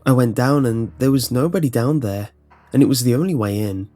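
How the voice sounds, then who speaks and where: A man delivers scripted horror narration in a medium-pitched voice. He tells short first-person anecdotes as a narrator, with music playing behind him. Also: brisk, dark tone.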